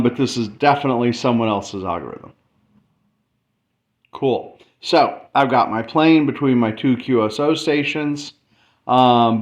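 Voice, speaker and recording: A man giving an informal tutorial walkthrough, thinking aloud as he explains a demonstration. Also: medium pitch. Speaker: explainer presenting a math and radio demo. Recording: dull lo-fi mic with faint background noise.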